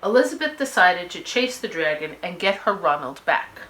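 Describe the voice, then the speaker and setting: Animated woman reading a children's story. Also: on the low side, normal speed, low-quality recording. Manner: expressive, dramatic emphasis.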